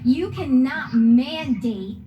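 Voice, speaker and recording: A woman's voice: high-pitched, expressive, takes it slowly, muffled recording. A young woman giving an impassioned, accusatory speech at a public meeting, repeating phrases for force. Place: council chamber. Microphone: podium microphone. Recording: podium mic replayed through speakers, music behind.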